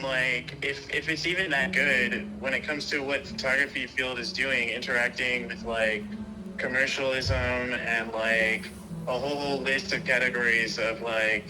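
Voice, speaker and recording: A man in a casual personal conversation, talking in a halting, rambling way and circling back on his own phrases. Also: medium pitch, noisy background.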